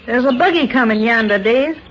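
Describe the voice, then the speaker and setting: An actress playing an anxious farm wife in a 1950s radio drama. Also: female voice, fairly high pitch, lively intonation, brisk, highs cut off, natural voice. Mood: plain, homely. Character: distraught rural farm wife, fearful and bewildered. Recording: scratchy, noisy 1950s radio recording.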